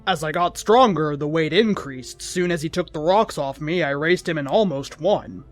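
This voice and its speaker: Higher-pitched man narrating a story. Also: normal speed. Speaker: host reading fan fiction. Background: background music.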